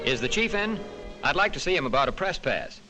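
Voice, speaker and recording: A mild-mannered male reporter talks politely in a bright, fairly high voice that swoops widely. It is vintage 1940s cartoon acting. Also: sing-song, a little fast, soft background music, poor audio.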